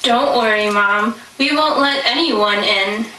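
Woman playing a high-voiced, trusting little girl. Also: slow, sharp tone. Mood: obedient. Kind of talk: puppet show stage acting. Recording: echoey room from far off, faint noise.

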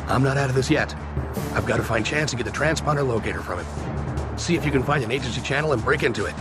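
An actor playing a terse, authoritative special agent in clipped video game cutscene dialogue. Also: male voice, mid-range voice, fast, over loud music, close to an everyday voice. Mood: terse, controlled. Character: terse, commanding male special agent leading stranded soldiers.

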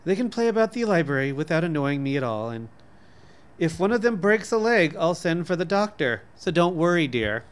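A man reading the part of a reluctant, mildly put-upon bookish father, gentle and resigned. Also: a little high, sing-song, a little fast, clean tone, pitched above the performer's own voice. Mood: disappointed, resigned.

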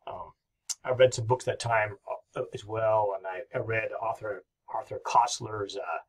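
A man's voice: on the low side, fairly even intonation, unhurried, slightly rough. A middle-aged man giving a recorded history lecture from his home office, talking in a rambling, enthusiastic way with many fillers. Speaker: history professor.

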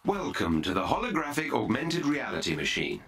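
A man's voice: medium pitch, sing-song, normal speed, fairly bright tone, faint music behind. A man playing a formal, courteous and dryly understated artificial intelligence butler in a video game. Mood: obliging. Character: polite, unflappable male AI butler.

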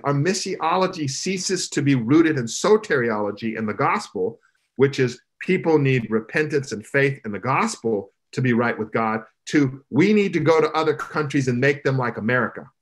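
A middle-aged man, a pastor, speaking emphatically in an animated panel discussion over a video call from his home. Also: mid-range voice, moderate pace. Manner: emphatic preaching cadence, gestures broadly. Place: home room, indoors. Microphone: video call, webcam from below.

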